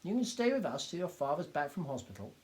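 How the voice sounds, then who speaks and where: A man voicing an excitable little village doctor who talks in a high, loud, indignant rush and trips over his words. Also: fast talker. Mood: kindly. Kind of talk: audiobook character voice.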